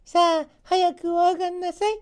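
A kindly, delighted old granny from a storybook folktale, put on by a male reader. The voice is extremely high and thin, a falsetto with drawn-out, singsong and polite phrasing. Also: completely flat delivery. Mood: eager, welcoming. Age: older. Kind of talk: storybook character voice.

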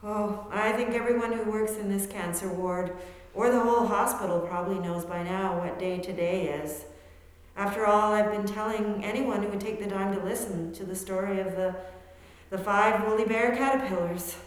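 A woman plays a determined, excitable woman of fifty-eight in a hospital bed, in a radio play. The sound is very echoey, with a long reverb tail like a hall. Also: medium pitch, normal speed, close to an everyday voice. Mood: chatty, glad. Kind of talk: stage-style radio play acting.

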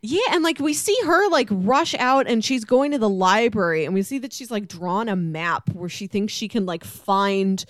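Woman podcast host just chatting about books, informal and musing, medium pitch, dry sound. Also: lively intonation, moderate pace, few pauses, dry recording. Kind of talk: casual book discussion chat.

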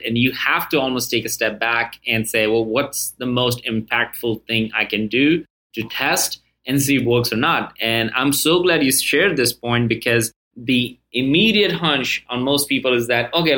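A man's voice: medium pitch, pushed and bright. A man hosting a podcast interview, chatty and enthusiastic, often restarting his sentences. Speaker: podcast host.

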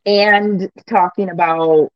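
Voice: mid-range voice, steady tone, takes it slowly, no room sound, lo-fi sound. A gym owner and coach joining a casual chat, direct and asking pointed questions.

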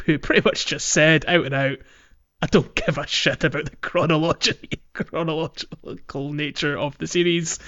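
A man's voice: fairly high pitch, clean tone. Man podcasting, slightly dull lo-fi sound. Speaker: podcast host. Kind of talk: casual chat on a gaming podcast.

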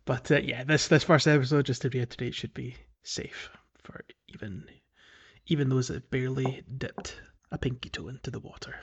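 A man's voice: mid-range voice, fairly even intonation, normal speed, creaky, slightly rough. Male podcast host, casual and discursive, on slightly dull lo-fi audio.

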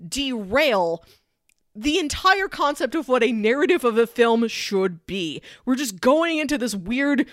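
A woman's voice: on the high side, very animated, measured pace, no room sound, studio quality. A woman co-hosting a podcast, chatting casually about films and peppering her explanations with "like". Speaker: podcast co-host. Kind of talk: casual film discussion chat.

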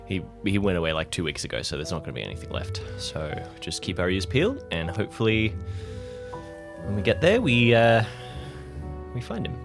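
Very animated, lower-voiced man over music. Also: a little fast, creaky. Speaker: player in an improvised audio drama. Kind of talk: casual in-character roleplay and table chat. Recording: music under the voice.

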